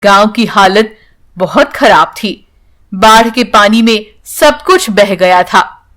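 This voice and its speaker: A woman's voice: mid-range voice, slow pace, fairly bright tone. A woman reading a scripted children's story as narrator.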